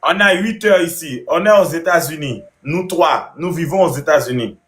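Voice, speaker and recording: A man's voice: fairly high pitch, normal speed, fairly bright tone. A man chatting casually as host, with a theatrical, boastful manner. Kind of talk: casual chat. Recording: roomy, echoey sound.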